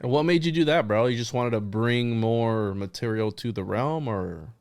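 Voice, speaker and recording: A man hosts a casual podcast, a little raspy from a cold. He jokes in loose banter. His voice comes through smooth and dry, close to the mic. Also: medium pitch, normal speed, no room sound. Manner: joking, conspiratorial banter. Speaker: podcast host.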